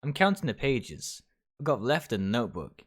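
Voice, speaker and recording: A man's voice: medium pitch, sing-song, moderate pace. A man livestreaming a visual novel, reading its text aloud and stumbling over phrases now and then. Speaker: video game streamer.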